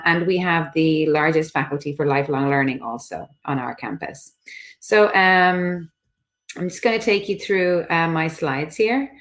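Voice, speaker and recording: A woman presenting slides at an online study fair, relaxed and filling pauses with ums. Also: low voice. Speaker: international office presenter.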